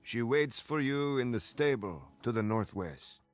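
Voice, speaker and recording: An actor playing a formal, courteous medieval ally who advises a knight in a strategy game. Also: male voice, mid-range voice, expressive, unhurried, soft background music, natural voice. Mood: reassuring. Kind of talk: scripted game dialogue.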